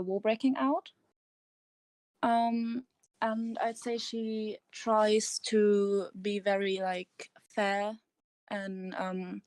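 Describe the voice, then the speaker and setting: A woman's voice: mid-range voice, darkish tone, dry recording. Woman, German accent, hesitant, many ums, tired, slightly nervous, very dry close sound. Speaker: interview guest. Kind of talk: casual interview over voice chat.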